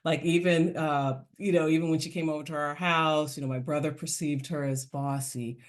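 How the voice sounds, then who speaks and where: A woman hosting a parenting podcast, reflecting aloud and sharing personal stories in a relaxed interview. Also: deep voice, normal speed. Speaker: podcast host. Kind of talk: casual interview chat.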